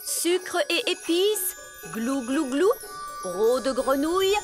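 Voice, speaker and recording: A woman voicing a brisk, self-assured and scatterbrained fairy nanny in a French cartoon dub. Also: high-pitched, lively intonation. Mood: incanting. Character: bossy, scatterbrained fairy nanny who casts spells. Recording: music bed behind the voice.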